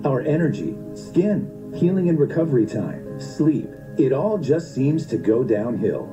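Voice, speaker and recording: A man narrating a scripted commercial in a smooth, persuasive sales delivery. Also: medium pitch, big pitch swings, measured pace, rough recording. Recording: voice-over with clear music bed.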